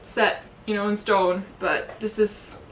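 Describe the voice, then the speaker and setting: A woman's voice: mid-range voice, level tone, slow, reverberant, a little hiss or hum, low-quality recording. A woman from Sweden with a Swedish accent, co-hosting a sailing chat, speaking candidly with small hesitations. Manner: thoughtful, candid, light hesitations. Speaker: sailing vlog co-host. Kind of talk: casual Q&A chat.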